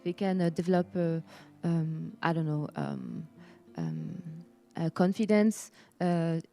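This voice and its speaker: A woman who teaches, speaking haltingly with many fillers. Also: low voice, big pitch swings, creaky, mellow, soft background music.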